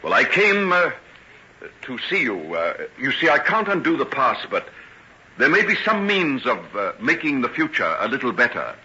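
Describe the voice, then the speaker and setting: An actor in an old-time radio drama playing a soothing, apologetic gentleman doctor with a polite, formal, hesitant manner. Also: medium pitch, wildly swooping pitch, normal speed, husky, heavily acted. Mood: earnest, guarded. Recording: dull old radio recording, faint noise.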